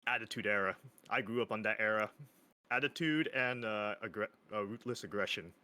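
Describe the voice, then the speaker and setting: A man's voice: fairly low pitch, normal speed, sharp tone, dry recording. A man in his thirties, a podcast guest chatting casually, hesitant and laughing often. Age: 30s. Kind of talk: casual chat.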